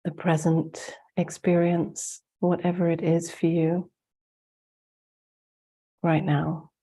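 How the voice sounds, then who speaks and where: Female spiritual teacher, congested from the flu, slow and even, calm guiding delivery on a video call. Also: on the low side, breathy edge. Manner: slow, soft-spoken, gently guiding. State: congested, getting over the flu. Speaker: spiritual teacher hosting a webinar. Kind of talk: guided meditation and talk.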